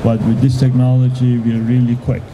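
A middle-aged man of medium pitch speaks as a business owner on a panel. His intonation stays flat and conversational. A handheld mic picks him up amid clear exhibition hall noise. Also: normal speed. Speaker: panelist, print shop owner. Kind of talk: conversational interview answer. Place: large indoor exhibition hall. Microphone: handheld microphone. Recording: noisy trade show floor, ventilation hum.

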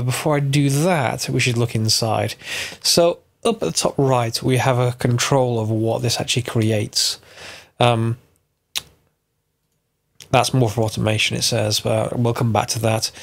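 A man with a gravelly, somewhat bunged-up voice from a cold talks through a game mod tutorial. He thinks aloud, corrects himself and chuckles now and then, at a middling pitch. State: stuffy from a cold. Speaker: Minecraft mod tutorial host. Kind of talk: casual gameplay tutorial narration.

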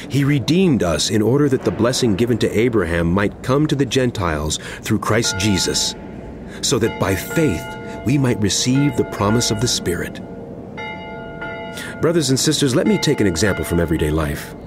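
An actor playing an impassioned male apostle in a dramatized scripture reading, speaking emphatically and deliberately to a wayward flock. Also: fairly low pitch. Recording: music under the voice. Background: background music.

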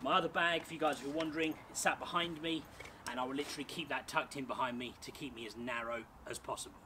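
A man in his thirties talks casually and cheerfully, explaining things one step at a time. His voice sits in a middle register. He's recorded outside in a small garden by a camera a few feet away, with clear outdoor noise and passing traffic behind him. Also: medium pitch, steady tone, quick pace, pushed and bright. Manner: chatty, upbeat, explaining step by step. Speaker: sports photographer and vlogger. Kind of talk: casual gear walkthrough. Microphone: camera mic on a tripod, a few feet away. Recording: outdoor camera audio with noticeable background noise.

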